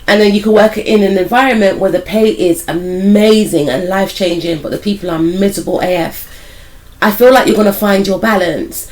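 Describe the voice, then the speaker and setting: Croaky woman giving a casual tarot reading. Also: medium pitch, a little fast, a little mellow, low-quality recording. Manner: rambling, hedged with "I feel like"; speaks directly to viewers as "some of you". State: croaky, recovering from a cold. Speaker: tarot reader and spiritual life coach.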